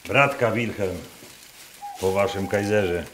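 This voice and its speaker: An actor playing a gruff, proud rustic Masurian man in a radio drama, speaking folksy dialect in a probing, declamatory way. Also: lowish voice, slow, heavy background noise. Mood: curious, teasing. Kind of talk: theatrical radio drama dialogue. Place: performed live in a wooden barn.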